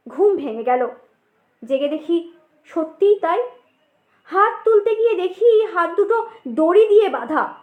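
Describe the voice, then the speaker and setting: A woman's voice: very high voice, roomy sound, narrow, tinny audio. A woman narrating a ghost story in the first person, in a theatrical, suspenseful storytelling manner.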